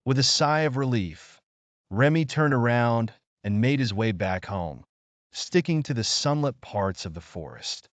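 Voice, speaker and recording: A man narrating a scripted children's story in an expressive, measured storytelling manner. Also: mid-range voice, dry recording.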